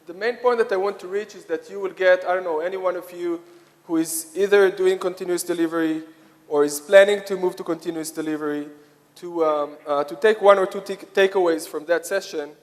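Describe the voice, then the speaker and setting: Halting Israeli man presenting in a reverberant hall. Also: high-pitched, fairly even intonation. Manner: halting, frequent hesitations and repeated phrases. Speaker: conference speaker, software product lead. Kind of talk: conference presentation to an audience. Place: conference hall, speaking to an audience. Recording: echoey hall with long reverb.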